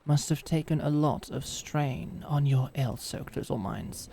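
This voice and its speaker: A woman's voice: low-pitched, a little slow, creaky, warm tone, slightly rough, heavily acted. A woman playing a sardonic, defiant lady knight in a medieval fantasy audio drama, with theatrical, formal phrasing. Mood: taunting, defiant. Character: defiant, sardonic lady knight protecting her princess lover.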